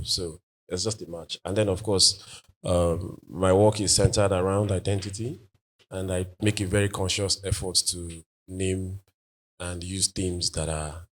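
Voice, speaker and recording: A Nigerian man in his forties whose first language is Igbo, answering panel questions as an artist in a thoughtful, halting way, with many fillers and restarts. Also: low-pitched.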